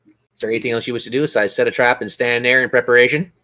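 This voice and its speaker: A man's voice: mid-range voice, steady tone, rapid-fire, sharp tone. A man acting as game master, narrating and bantering in a theatrical, courteous way.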